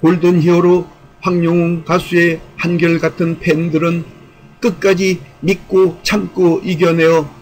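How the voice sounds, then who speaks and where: Monotone, higher-pitched man reading formal commentary. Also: a little slow, a little hiss or hum, poor audio. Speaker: YouTube channel commentator. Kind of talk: scripted commentary, formal speech-like delivery.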